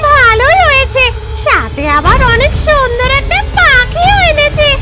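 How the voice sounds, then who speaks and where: A woman's voice: extremely high pitch, very animated, measured pace, music in the background, full character voice. A woman playing a greedy, menacing female ghost in a cartoon, gloating and threatening as she talks. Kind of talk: cartoon villain voice acting.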